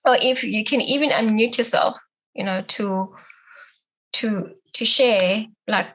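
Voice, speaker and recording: A woman's voice: mid-range voice, measured pace, fairly bright tone, rough recording. A young woman moderating an online panel in a casual way, full of likes and you-knows. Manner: casual, many fillers of like and you know. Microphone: video call.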